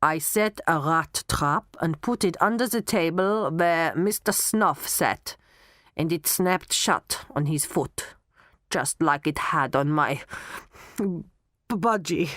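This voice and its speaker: Storybook character: hypnotised gruff man, played by a woman, robotic, obedient, slow delivery, dry sound. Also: sits low, a bit bright, no echo. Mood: robotic confessing.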